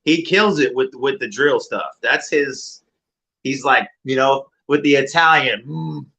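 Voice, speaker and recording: A man, a music producer and rapper, talking casually and reflectively as an interview guest in a deeper mid-range voice. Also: unhurried, vocal fry. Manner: relaxed, reflective, many fillers.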